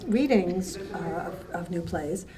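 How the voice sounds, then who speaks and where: A woman recalling her work as a festival co-founder in a conversational documentary interview, haltingly searching for words. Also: lowish voice, animated, a crowd in the background. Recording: distant mic with background noise.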